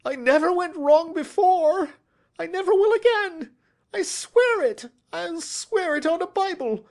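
A man's voice: high voice, lively intonation, a little slow, creaky, pitched above the performer's own voice, exaggerated voice. A man voicing a frightened, cringing small-time thief in a detective audiobook, pleading and servile, with a cracking voice. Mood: desperate pleading. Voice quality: cracking, shrieking when desperate. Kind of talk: classic detective audiobook character acting.

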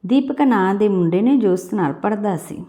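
A woman's voice: medium pitch, animated, normal speed, mellow. A woman reading a scripted story as an audiobook-style narrator.

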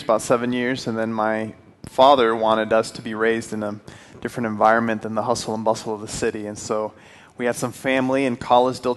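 Earnest young male church speaker. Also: mid-range voice. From Puerto Rico. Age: 20s. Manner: friendly, earnest, some restarts. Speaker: guest speaker. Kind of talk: church talk. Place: church hall with stone fireplace. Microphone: handheld mic, then headset.

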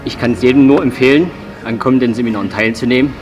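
Man from leipzig, sincere halting testimonial, mid pitch, music underneath. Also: a little mellow. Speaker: seminar participant. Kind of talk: interview testimonial. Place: indoor sports hall.

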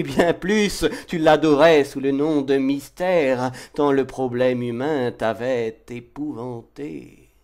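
Male poetry reader, mid-range pitch, very measured pace, dramatic and impassioned declamation. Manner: declamatory, impassioned, swelling from hushed to forceful. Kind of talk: dramatic French poetry recitation.

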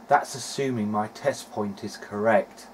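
A man with a fairly low voice talks through a hands-on electronics demonstration in a casual way. He thinks aloud as he goes, somewhat hesitant between phrases. There is faint noise behind him. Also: measured pace. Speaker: hobbyist presenter of an electronics repair video diary. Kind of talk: casual technical narration while demonstrating.

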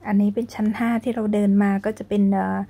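A woman's voice: mid-range voice, little pitch movement, fast talker, breathy edge. A young woman hosting a casual tour vlog, narrating politely and chattily, and speaking English with a Thai accent when she switches. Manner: polite, chatty, adds frequent soft sentence-end particles. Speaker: vlog host and tour guide. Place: football stadium museum indoors.

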